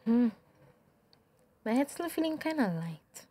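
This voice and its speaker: High-voiced young Tobagonian woman, evasive. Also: very animated, a little slow, warm tone, husky, heavily acted. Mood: feigned weakness. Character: evasive young daughter shielding her crooked boyfriend. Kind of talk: radio drama acting.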